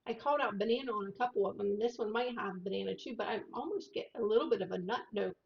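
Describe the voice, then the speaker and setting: A woman co-hosting a whiskey tasting livestream, talking softly and hesitantly with many fillers, picked up by a microphone above her. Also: mid-range voice, quick pace, vocal fry. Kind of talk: casual tasting chat. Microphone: overhead microphone above the table. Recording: dull lo-fi overhead mic.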